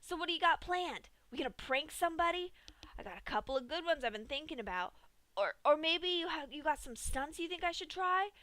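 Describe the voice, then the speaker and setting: A woman's voice: high voice, lively intonation, brisk, fairly bright tone, dry recording, pitched above the performer's own voice. A woman voicing a brash, sporty young female pegasus pony, talking in a casual, slangy way. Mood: eager.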